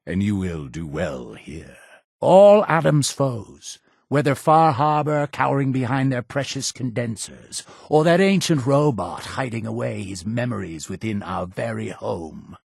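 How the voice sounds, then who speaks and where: An actor playing a solemn, fervent cult high priest in a video game, speaking in a grandiose, formal, preaching manner. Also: male voice, creaky, darkish tone, husky, no room sound, studio quality, heavily acted. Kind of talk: scripted video game character dialogue.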